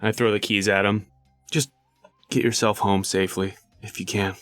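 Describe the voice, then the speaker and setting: A man playing a tabletop roleplaying game, talking casually and haltingly as he thinks aloud. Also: on the low side, level tone, fairly bright tone, no room sound, faint music behind.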